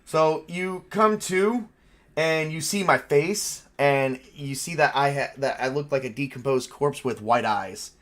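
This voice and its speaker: A man's voice: on the high side, measured pace, a bit bright. A man acting as game master, narrating a tabletop game casually and dramatizing events in informal words.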